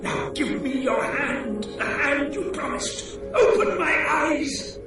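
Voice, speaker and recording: A bitter soul suffering in hell for an audio drama. The voice is very high and wildly varied, strained and breathy as it begs. It echoes heavily from a distance, with music behind. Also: male voice, lively intonation, takes it slowly, exaggerated voice. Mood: anguished pleading. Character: tormented male damned soul frozen in ice, bitter and pleading.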